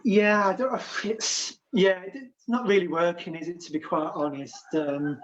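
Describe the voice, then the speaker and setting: A man doing improvised comedy, talking in a calm, hesitant way full of fillers. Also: sits high, moderate pace. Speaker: improv performer. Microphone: video call. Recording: dull lo-fi video call audio.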